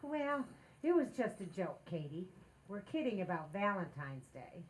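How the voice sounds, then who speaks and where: A woman's voice: mid-range voice, big pitch swings, normal speed, natural voice, poor audio. A woman playing a playful, meddling married housewife in 1940s radio comedy style, with an emphatic theatrical delivery. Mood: teasing.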